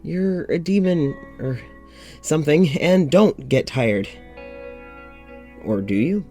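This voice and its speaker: An actor playing a lonely, weary doctor in an audio drama, confiding in a halting, sighing way. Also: male voice, fairly high pitch, lively intonation, dark tone, faint music behind. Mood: exasperated, pleading. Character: lonely, weary young doctor venting to his demon dog.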